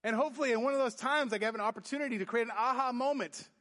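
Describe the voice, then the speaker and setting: A youth pastor preaching a sermon in church, booming then dropping to quiet emphasis. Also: male voice, racing. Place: church sanctuary. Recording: long hall reverb. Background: hall reverb.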